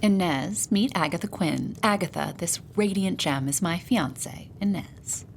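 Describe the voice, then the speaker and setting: A woman's voice: fairly low pitch, measured pace, vocal fry, a little hiss or hum. An actress playing a blunt, wry young aristocratic lady in an audio drama. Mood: wry, assured. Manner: dry, wry, challenging. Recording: music behind the voice.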